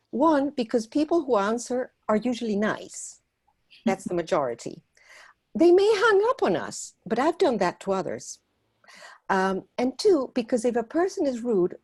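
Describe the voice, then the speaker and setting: A woman from Argentina, a volunteer organizer, giving an earnest prepared talk at a meeting and pausing often on fillers. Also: a little high, darkish tone, breathy edge. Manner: earnest, persuasive, with frequent filler pauses.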